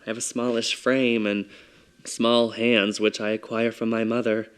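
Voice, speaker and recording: A man with a soft, feminine-sounding voice performing a theatrical confessional monologue, mostly quiet and measured. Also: lowish voice, flat delivery, clean tone.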